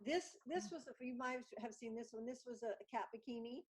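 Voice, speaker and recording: A woman with a fairly high voice chats casually as a guest over a video call. She tells anecdotes in an animated way and acts out the dialogue. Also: fairly quick. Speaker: guest artist.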